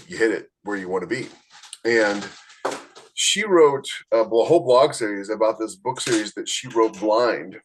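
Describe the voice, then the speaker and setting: A man's voice: lowish voice, fairly bright tone, poor audio. A man co-hosting a casual podcast conversation, often restarting his sentences as he recalls details. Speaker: podcast co-host and author.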